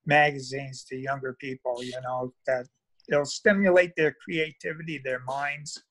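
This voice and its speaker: A man's voice: mid-range voice, steady tone, measured pace, a bit bright, no echo. An older retired man chatting casually about his hobby, rambling and often trailing off into filler phrases. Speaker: meetup guest, model railroad hobbyist. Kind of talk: casual chat. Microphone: phone at arm's length on video call.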